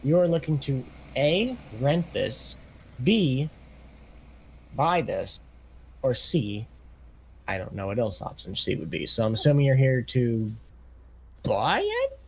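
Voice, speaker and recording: A man's voice: medium pitch, huge pitch swings, slow pace, gravelly, long pauses, slight background noise, full character voice, poor audio. A man playing an easygoing farm equipment dealership salesman in casual, rambling improvised game role-play. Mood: casual, businesslike.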